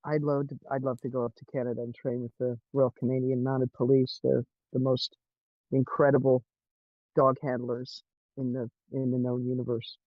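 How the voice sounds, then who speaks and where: A man from California with an American accent, chatting casually in a halting, hesitant way. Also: mid-range voice, level tone, no echo, dull audio. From California, United States. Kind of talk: casual chat.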